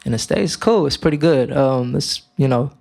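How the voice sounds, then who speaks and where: A man's voice: mid-range voice, creaky. A young man from Romania, a guest musician, talking casually in an interview with many fillers and restarts. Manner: relaxed, halting, many fillers.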